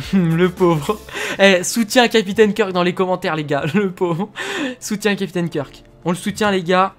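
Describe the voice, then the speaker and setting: A man from France chatting casually and laughing as he plays a video game. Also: high voice. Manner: chatty, laughs often, fillers. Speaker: gaming youtuber. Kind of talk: casual gaming commentary.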